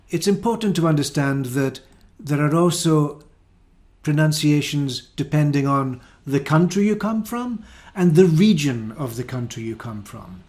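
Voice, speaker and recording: A Scottish man leads an English pronunciation lesson at a very slow, deliberate pace. He draws out each example word so learners can copy it, and his voice sits at a middle pitch. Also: a little mellow. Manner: slow, careful, drawn-out model words. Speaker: English teacher.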